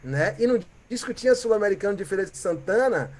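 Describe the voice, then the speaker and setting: A Brazilian man from Bahia, a musician guest, telling stories in a reflective, animated way and often ending with "né?" or "sabe?". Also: high-pitched, quick pace. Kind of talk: reflective conversational storytelling.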